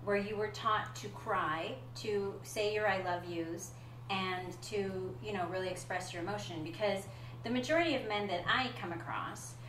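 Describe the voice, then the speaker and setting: A woman hosting a panel talk show, asking probing questions at a quick pace. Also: medium pitch, fairly bright tone, echoey room, recorded from a distance, a little hiss or hum, rough recording. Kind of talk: hosted panel discussion.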